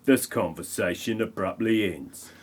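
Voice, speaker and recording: A man's voice: on the low side, measured pace. A man narrating an amateur comedy audio play with wry, mocking asides.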